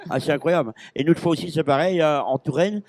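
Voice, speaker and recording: A man's voice: a little high, brisk, dry recording. Man, 50s, from Normandy; hesitant, rambling guest, handheld mic. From Normandy, France. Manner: rambling, hesitant, full of restarts and fillers. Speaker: interview guest, pig-call champion. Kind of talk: casual chat. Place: busy agricultural fair stand.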